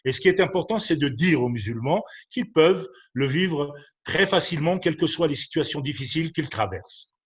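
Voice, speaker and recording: A man with a slightly high voice speaks in a measured, thoughtful way. He pauses often on fillers as he builds each point. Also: animated, husky. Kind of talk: panel discussion on religion.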